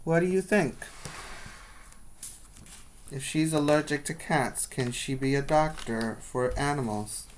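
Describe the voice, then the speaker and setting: A man reading aloud to young children as their teacher, pausing to explain and put questions to his listeners. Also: a little high, a little hiss or hum, poor audio. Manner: instructional, asking the listeners questions.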